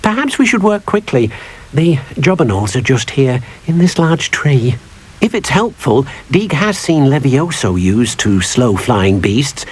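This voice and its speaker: A man's voice: sing-song, unhurried. An actor voicing a small, timid male house-elf servant in a video game, who talks about himself by name in an eager, fussy way. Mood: worried. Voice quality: husky, high squeaky. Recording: game audio with faint music.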